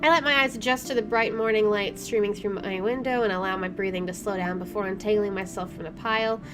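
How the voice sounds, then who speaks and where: A chatty, expressive woman reading a visual novel aloud as she plays, with casual jokey commentary. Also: medium pitch, quick pace, over music. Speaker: gamer doing a first playthrough.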